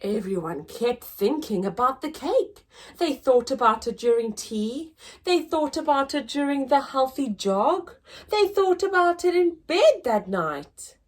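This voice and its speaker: A woman with a high, very animated voice reads a picture book to young children. She narrates dramatically, in the manner of a story-time teacher. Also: a little slow. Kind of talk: expressive children's read-aloud.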